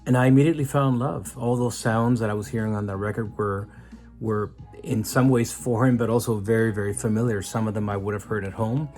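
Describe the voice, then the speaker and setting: A man's voice: lowish voice, fairly even intonation, brisk, darkish tone, soft background music. A middle-aged man from Mexico telling a personal story in an earnest, reflective way, often restarting his sentences. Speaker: musician. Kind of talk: personal story told to camera.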